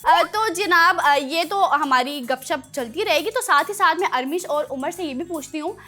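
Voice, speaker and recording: A woman hosting a children's show, chatting in an animated way with playful scolding for her young audience. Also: very high voice, moderate pace, soft background music.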